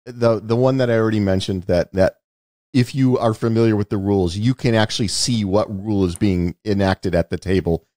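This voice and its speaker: A man's voice: lowish voice, steady tone, brisk, dark tone, no room sound, studio quality. A man co-hosting a podcast in a casual film-review chat, telling stories in an emphatic, unguarded way. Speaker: podcast co-host, television producer.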